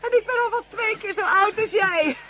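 An actress playing an exuberant, flirtatious, competitive woman in a Dutch radio play, exclaiming excitedly. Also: extremely high pitch, normal speed, over a noisy crowd. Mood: teasing, flirtatious. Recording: old radio recording with loud commotion.

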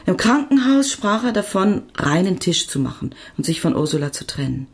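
A woman's voice: on the low side, sing-song, normal speed. An actress playing a devoted woman doctor who tells of her love in a reflective, intimate radio drama monologue. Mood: reflective, tender.

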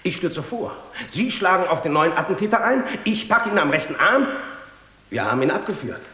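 An actor playing a proud, eager, dutiful witness who gives formal, emphatic testimony in a radio drama. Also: male voice, sits high, sing-song, brisk, sharp tone, heavily acted. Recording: echoey hall with long reverb.

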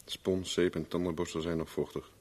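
An actor playing a cool, determined private investigator with a dry, self-assured manner in a 1970s radio play. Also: male voice, sits low, little pitch movement, normal speed, a little mellow. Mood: musing, searching. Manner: dry, self-assured, talks to himself while searching. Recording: noisy old radio drama recording.

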